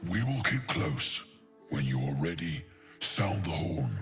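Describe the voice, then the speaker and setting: An actor voicing a huge, gluttonous, greedy ogre mercenary in a video game, eloquent and pompous, savoring florid words. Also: male voice, very deep voice, big pitch swings, slow, faint music behind, full character voice. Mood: confident, assured. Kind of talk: video game character acting.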